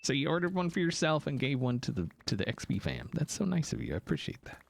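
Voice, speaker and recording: A man hosting a game live stream, chatting casually and thanking viewers, with a gravelly morning voice. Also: a little high, big pitch swings, a little hiss or hum. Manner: playful, jokey, thanks donors rapidly. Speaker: livestream host.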